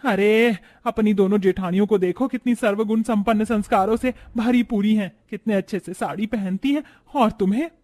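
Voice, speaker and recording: A man acts out an older village mother-in-law in an animated moral tale. The voice is high-pitched and plaintive. She is traditional and exasperated, scolding with dramatic exclamations. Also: normal speed, few pauses, close to an everyday voice. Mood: bitter scolding.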